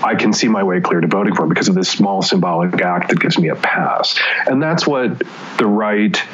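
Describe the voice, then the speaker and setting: A man's voice: deep voice, fairly quick, a bit bright, lo-fi sound, soft background music. A man talking as a guest columnist, emphatic and blunt, swearing freely and wandering into asides. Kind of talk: opinionated conversation. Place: Seattle area.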